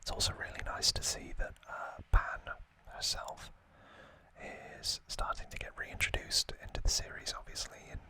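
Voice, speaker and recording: A man hosting an unscripted ASMR unboxing in a breathy whisper, speaking in short, halting phrases with pauses. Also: bright, forceful tone, low-quality recording. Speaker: ASMR video host.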